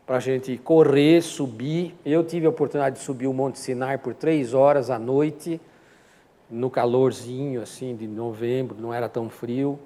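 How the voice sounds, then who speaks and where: A man's voice: medium pitch, fairly even intonation, moderate pace, dark tone. An older man with a Brazilian accent teaches an informal Bible class in a didactic way, often posing questions and answering them himself. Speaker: Sunday school teacher. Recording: long hall reverb.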